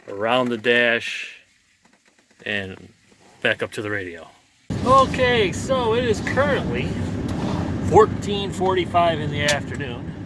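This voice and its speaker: An American man, a truck driver, chatting casually about his day in a folksy, self-deprecating, rambling way. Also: medium pitch. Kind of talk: casual first-person vlog chat. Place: in and around a truck cab. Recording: loud vehicle and road noise throughout.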